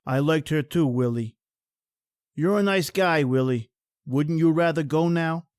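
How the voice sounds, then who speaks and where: Male actor as wry world-weary painter, slightly high voice, morbid wit, theatrical, radio horror drama. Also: unhurried, darkish tone, smooth, dry recording, clean studio sound, close to an everyday voice. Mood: dry. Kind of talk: old-time radio horror drama acting.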